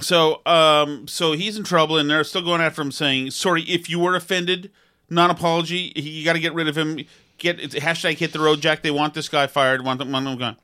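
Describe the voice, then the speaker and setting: A man's voice: on the high side, fairly even intonation, fairly quick, bright, forceful tone, clean tone. A man co-hosting a podcast, arguing in a sarcastic, rambling way during casual banter. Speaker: podcast co-host.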